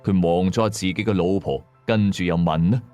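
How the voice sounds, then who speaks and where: A man's voice: sits low, normal speed, dark tone, soft background music. A man with a Hong Kong accent narrating a scripted ghost story in a colloquial, dramatic and suspenseful way.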